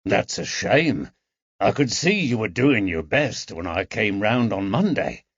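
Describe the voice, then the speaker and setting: Man reading a kindly constable, soft coaxing questions, animated pitch, dry dull sound. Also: a little slow, husky, no echo, full character voice. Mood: gentle. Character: gentle, patient male police constable. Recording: dull, dry audiobook recording.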